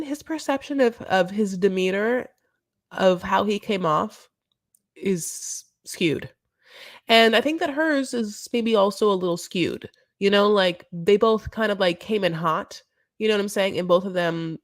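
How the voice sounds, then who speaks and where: A woman's voice: medium pitch, measured pace, dry recording, studio quality. A woman hosting a casual live recap chat, rambling and campy with lots of asides. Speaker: show recap host.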